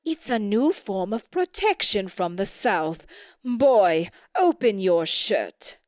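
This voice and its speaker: A woman's voice: medium pitch, sing-song, takes it slowly, dry recording. A woman voicing an imperious, cold snow queen in a storybook tale, haughty and commanding. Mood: alarmed discovery. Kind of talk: storybook character voice in audio theatre.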